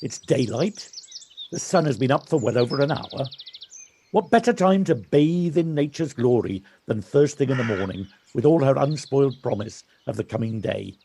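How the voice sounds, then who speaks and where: An actor playing a cheery, unhurried villager in an audio play, with a gravelly voice and a genial, lyrical manner. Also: male voice, medium pitch, expressive, warm tone, lightly acted. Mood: gently chiding. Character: cheery, unhurried Welsh village local who loves nature. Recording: noisy home recording on personal device.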